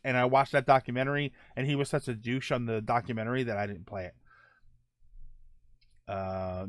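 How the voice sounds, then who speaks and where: A man's voice: fairly high pitch, fairly bright tone, no echo, clean studio sound. A middle-aged man hosting a casual podcast, rambling through viewer comments with many fillers and self-corrections. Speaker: podcast host.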